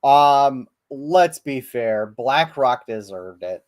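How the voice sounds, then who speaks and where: Male podcast host, slow and meandering, profane, dry close-mic sound. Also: mid-range voice, animated, dry recording. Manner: rambling, profane, hesitant with many ums. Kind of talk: casual livestream chat. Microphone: livestream podcast mic.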